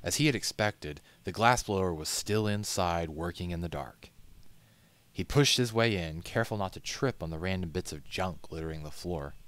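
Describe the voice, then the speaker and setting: A man reading a story aloud as narrator, in a measured, solemn, dramatic audiobook style. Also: low voice, fairly bright tone, no room sound.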